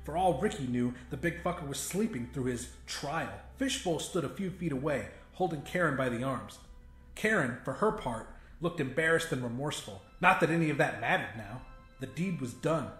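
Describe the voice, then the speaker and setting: Male narrator for dramatic horror fiction, medium pitch, reverberant sound with a faint music bed. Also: lively intonation, normal speed, fairly bright tone. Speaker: audiobook narrator. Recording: roomy echo with faint music.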